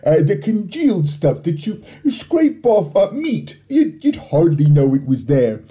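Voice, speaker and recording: Man voicing a proud, slow-talking storybook know-it-all. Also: high-pitched, sing-song, warm tone. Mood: relishing. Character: Bart, proud, grumbling boy with secret family remedies.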